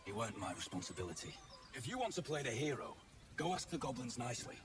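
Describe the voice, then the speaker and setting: An actor playing a gruff, cynical mercenary in a video game, with a British accent and a rough, sardonic, colloquial way of talking. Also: male voice, mid-range voice, big pitch swings, fast talker, recorded from a distance, noisy background, natural voice. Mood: defensive, scornful. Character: gruff cynical male mercenary survivor of a slaughtered crew. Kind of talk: video game dialogue acting.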